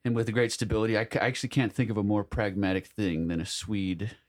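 Lowish-voiced man chatting on a podcast. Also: steady tone, quick pace. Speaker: podcast co-host. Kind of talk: casual chat.

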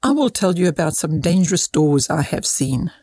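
A woman reading as a kindly older male cousin who tells children moral tales in an instructive, measured way. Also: fairly low pitch, big pitch swings, mellow, no echo, clean studio sound. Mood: patient, mysterious.

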